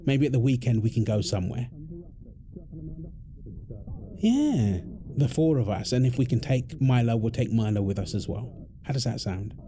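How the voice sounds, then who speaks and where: A man playing an affectionate, tired husband and father in an intimate audio roleplay, talking tenderly with soft endearments. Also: medium pitch, expressive, brisk, natural voice. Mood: caring, relaxed. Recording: clear background ambient noise.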